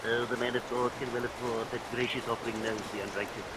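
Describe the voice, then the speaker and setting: An actor playing a pious, greedy, hypocritical parson with florid, sanctimonious delivery in a vintage radio melodrama. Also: male voice, mid-range voice, level tone, fast talker, natural voice. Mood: unctuous, fussy. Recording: noisy vintage radio, rain throughout.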